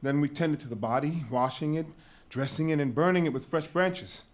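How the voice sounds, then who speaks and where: An actor playing a grave, shaken messenger in a dramatic reading of a Greek tragedy, telling terrible news in a measured, emphatic way. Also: male voice, on the high side, lightly acted.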